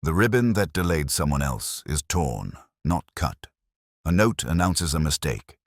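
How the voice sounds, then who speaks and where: Deep, deliberate police inspector played by a narrator. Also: male voice, expressive, no echo, studio quality, full character voice. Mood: pondering. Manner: soft-spoken, deliberate. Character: thoughtful, precise male police inspector, dryly philosophical.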